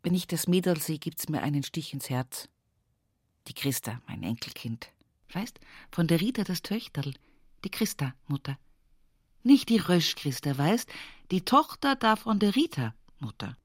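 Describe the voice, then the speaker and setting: A woman's voice: deep voice, big pitch swings, a little slow, husky, dry recording, clean studio sound, heavily acted. An actress playing an older, pious, plain-spoken village woman with an Upper Palatinate Bavarian accent, reminiscing in a folksy radio play monologue. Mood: tender, aching. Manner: folksy, earthy dialect storytelling with emphatic repetitions.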